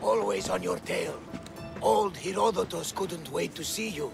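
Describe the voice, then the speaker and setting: An actor playing a teasing, skeptical Greek adventurer in a video game, with a gravelly edge and a bantering manner. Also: male voice, sits high, animated, unhurried, over music, exaggerated voice. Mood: teasing, doubtful. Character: teasing, skeptical male Greek adventurer, cocky but loyal companion. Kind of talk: video game cutscene acting.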